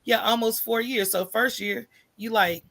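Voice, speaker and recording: A woman's voice: a little high, measured pace, gravelly. A middle-aged American woman talking casually as an interview guest, acting out little asides and often checking in with "right?". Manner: animated storytelling, acts out quoted thoughts, often tags phrases with "right?". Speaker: interview guest, coffee shop owner.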